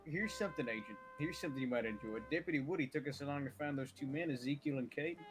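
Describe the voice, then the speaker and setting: A man's voice: medium pitch, fast, music in the background. A man bantering in character as a police chief in a roleplay, often stumbling over his words.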